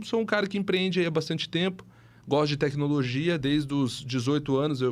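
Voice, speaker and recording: Podcast guest: man, early thirties, mid-pitched, explanatory, conversational. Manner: explanatory, frequently adds tag questions. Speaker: guest, tech entrepreneur and CEO. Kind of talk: conversational podcast interview storytelling.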